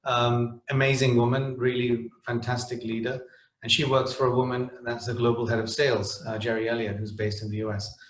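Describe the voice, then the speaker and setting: A man's voice: medium pitch, level tone, fairly quick, fairly bright tone, roomy sound, a little hiss or hum. A middle-aged man from the Netherlands speaking on a webinar panel, hesitant, with many restarts and fillers.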